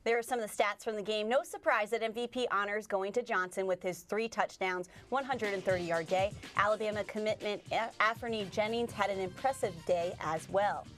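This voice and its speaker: A woman with an American accent co-anchoring a sportscast, narrating game highlights with light banter. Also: brisk, hardly any pauses, a bit bright, faint music behind. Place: TV studio.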